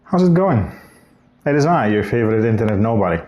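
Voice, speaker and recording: A man's voice: lowish voice, very animated, a little fast, a little mellow. A man from Bulgaria, probably in his thirties, talking casually into the camera in a reflective vlog. He wanders through his thoughts and often corrects himself mid-sentence. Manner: reflective, rambling with restarts and self-corrections. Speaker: vlogger.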